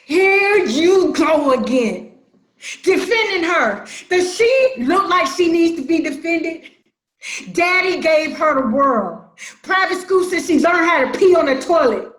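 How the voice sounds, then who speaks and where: A brash, defensive woman in a family stage drama , acted by a woman. Her voice is high and swings widely as she sasses and snaps in slang. It is recorded in an echoey room. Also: animated, takes it slowly, heavily acted. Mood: angry, wounded. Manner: sassy, combative, slangy. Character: brash, defensive, resentful grown sister from Detroit, quick to snap. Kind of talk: dramatic play reading.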